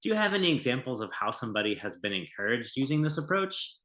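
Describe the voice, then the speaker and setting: A man asks curious questions about a teaching program. His voice is bright and animated, with lively swings in pitch. The room gives it a clear echo. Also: fast. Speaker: prospective volunteer. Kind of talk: scripted interview questions. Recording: roomy echo.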